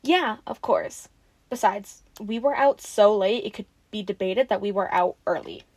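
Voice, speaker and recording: A woman acting in an audio roleplay as a friendly, excitable young woman who rambles casually and gets playfully defensive. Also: mid-range voice, measured pace, no room sound, natural voice. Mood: teasing, friendly.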